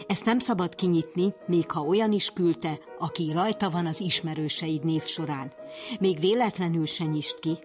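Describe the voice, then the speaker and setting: A woman reading a text aloud on the radio in a steady, deliberate way. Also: fairly low pitch. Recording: voice over music bed. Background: music.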